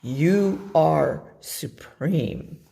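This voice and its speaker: A woman's voice: low-pitched, lively intonation, extremely slow, gravelly, dark tone, exaggerated voice. A woman voicing a commanding, grand king in a children's storybook, pompous and declamatory. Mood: admiring. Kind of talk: children's storybook character reading.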